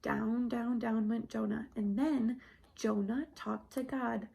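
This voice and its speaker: A woman reading a Bible story aloud to young children, in simple repetitive phrases with questions to her listeners and some hushed, whispery delivery. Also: fairly high pitch, fairly even intonation, slow pace, creaky, slightly rough.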